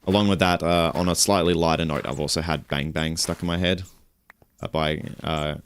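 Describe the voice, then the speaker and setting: Very low-voiced young man rambling casually. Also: normal speed, smooth. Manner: rambling and relaxed, with chuckles and self-corrections. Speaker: streamer. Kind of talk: casual stream chat while gaming.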